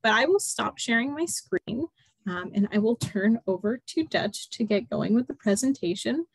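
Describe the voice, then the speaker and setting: A woman moderating an online workshop, upbeat and quick with fillers. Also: a little high, lively intonation, breathy edge, no echo, rough recording. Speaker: webinar moderator. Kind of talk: webinar hosting.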